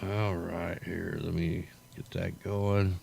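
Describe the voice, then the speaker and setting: A man's voice: low-pitched, flat delivery, slow pace, vocal fry, darkish tone, slight background noise. A tired man with a worn-out voice hosting a livestream, giving rambling, slangy, off-the-cuff commentary. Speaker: livestream host. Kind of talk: casual off-the-cuff weather commentary.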